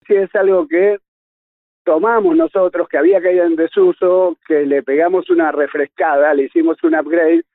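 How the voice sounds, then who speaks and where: A man's voice: on the high side, steady tone, moderate pace. An Argentine man reflecting casually as an interviewee, hesitantly searching for words. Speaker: journalist, interviewee. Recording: tinny phone-like line, dry.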